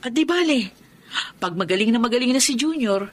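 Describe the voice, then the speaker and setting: An actress with a Filipino accent playing a caring, respectful adult daughter and elder sister in a radio drama. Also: female voice, fairly high pitch, animated, fairly quick. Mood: hopeful. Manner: gentle, respectful.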